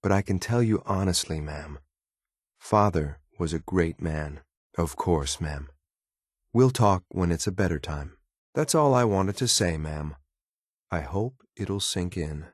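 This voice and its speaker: Male android soldier, low-pitched, formal, deadpan, coolly disapproving, video game companion, close dry sound. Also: measured pace, no room sound. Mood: measured, matter-of-fact. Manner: formal, clipped, deadpan, deferential yet blunt.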